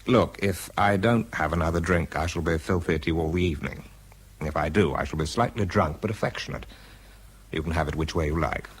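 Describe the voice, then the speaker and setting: An actor playing a weary, wry wartime weapons researcher with a British accent, narrating and talking in a dry, understated way. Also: male voice, sits low, fairly quick, slightly rough. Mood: wry, affectionate. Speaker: lead actor and first-person narrator. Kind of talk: radio drama narration and dialogue.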